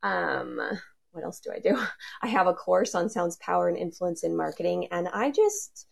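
Gravelly low-voiced woman, eager and rambling. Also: sing-song, normal speed. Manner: enthusiastic, rambling with fillers and laughs. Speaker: sonic branding consultant. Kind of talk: casual panel talk on a video call. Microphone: video call.

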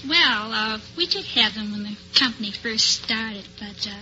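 A woman's voice: a little high, expressive, normal speed, sharp tone, highs cut off, a little hiss or hum. An American woman being interviewed as a quiz show contestant, giggly and a little hesitant, on an old-time radio recording. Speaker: contestant, telephone operator.

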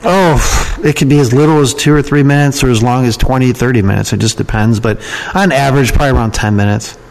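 A man speaks as an interview guest in a casual, conversational way. His delivery is informal and plain-spoken, with words often repeated for emphasis. The pitch sits in an ordinary middle range for a male voice. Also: fast, creaky, a little mellow, poor audio. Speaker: podcast guest, email marketer and copywriting trainer.

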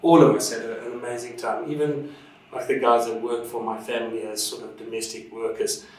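A man's voice: mid-range voice, level tone, brisk, recorded from a distance. A man from Zimbabwe recalls his past in a loose, rambling way, full of ums and restarts. He sits back from the microphone in a very echoey room. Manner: rambling, warm storytelling with many fillers and restarts. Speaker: interview guest, drone instructor and pilot. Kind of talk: casual conversational interview.

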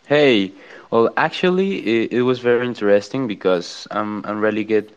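A man from Venezuela, a guest on a panel show, speaking in a friendly, relaxed way. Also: fairly low pitch, normal speed, clear, resonant tone. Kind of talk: casual conversation. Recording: dull lo-fi line.

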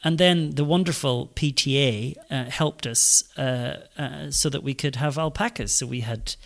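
A Northern Irish man in his forties talks eagerly in a podcast interview, his voice sitting at an ordinary middle pitch. He keeps breaking off with ums and restarting his sentences. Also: normal speed, gravelly, a bit bright. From Northern Ireland. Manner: enthusiastic, many fillers and restarts. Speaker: school head.

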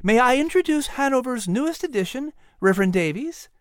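A man's voice: sits high, very animated, normal speed, no room sound, clean studio sound, voice pushed up. A man voicing a cheerful, teasing colonial hostess in a storybook, with emphatic, exaggerated enunciation. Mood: cheerful, welcoming.

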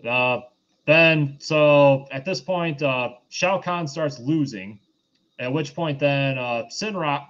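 A man with a mid-pitched voice gives a casual, sardonic recap as a show co-host. The audio is a little dull and lo-fi. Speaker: podcast co-host recapping films. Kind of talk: casual plot recap and commentary.

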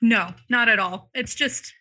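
A woman with a very bright, forward voice talks casually about singing and vocal health. She is loose and chatty, full of "like" and "um." Her throat sounds a little dry, and she clears it from time to time. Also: on the high side, a little slow. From Arizona, US. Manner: chatty, many fillers like "like" and "um". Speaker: vocal coach guest. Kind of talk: casual podcast conversation.

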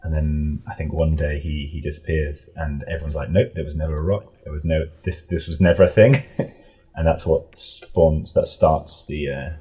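A man chatting casually as an interview guest, in a rambling, halting way that often doubles back on itself. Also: rumbling low, fairly even intonation, warm tone, slightly rough. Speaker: audio drama creator, interview guest.

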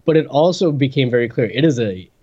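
A man's voice: medium pitch, animated, quick pace, a little mellow, slightly rough, highs cut off. A man co-hosting a podcast, a bit congested, trading playful puns in casual chat. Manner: playful punning, lots of fillers and restarts. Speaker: podcast co-host. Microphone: webcam setup, remote recording.

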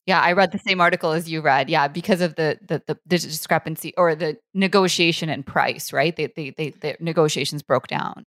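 A woman's voice: deep voice, a little fast, few pauses, a bit bright, no room sound, studio quality. A woman hosting a casual interview, asking questions in a conversational way with frequent fillers. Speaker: interview host.

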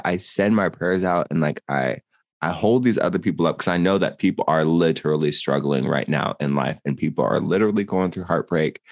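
A man with a very deep voice talks in a loose, rambling way, full of "like" and "uh". He co-hosts a casual podcast and sounds earnest. The recording is dry and close, with no room sound. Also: dry recording. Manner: rambling, filler-heavy, earnest. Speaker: podcast co-host. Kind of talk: casual chat.

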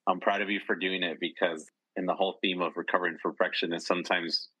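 Deep-voiced, bright-toned man in his thirties. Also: fairly even intonation, quick pace, breathy edge, no echo, muffled recording. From Bolivia, raised in Idaho, USA. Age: 30s. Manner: upbeat and chatty, laughing often. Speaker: podcast guest. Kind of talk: casual conversation.

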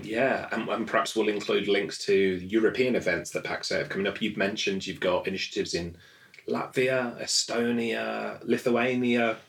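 A man's voice: fairly low pitch, level tone, normal speed, creaky, a bit bright, far from the mic. A man hosting a podcast interview, eager and generous with praise. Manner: enthusiastic, lavish with praise. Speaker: podcast host. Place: studio in London.

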